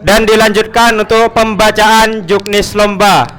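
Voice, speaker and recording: A man co-hosting a school quiz competition, brisk and cheerful as he eggs contestants on. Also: sits high, little pitch movement, fairly bright tone, clean tone, low-quality recording. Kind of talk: event announcing.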